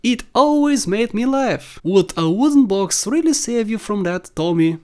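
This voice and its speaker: Guy narrating a gaming top ten, high voice, casual and jokey. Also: animated, measured pace. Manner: informal, jokey, emphatic. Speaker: video host. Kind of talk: casual video game countdown commentary.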